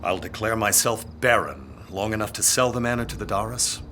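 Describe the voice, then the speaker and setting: A man's voice: on the low side, animated, a little fast, sharp tone, a little hiss or hum. A man playing a brooding, dutiful aristocratic heir in a period audio drama, speaking formally and earnestly. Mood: brooding, resolved.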